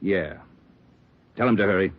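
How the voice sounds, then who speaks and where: An actor playing a businesslike senior police officer who gives matter-of-fact commands, in an old radio drama. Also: male voice, deep voice, normal speed, background noise, lightly acted. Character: brisk, authoritative senior police officer negotiating. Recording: dull lo-fi old radio recording.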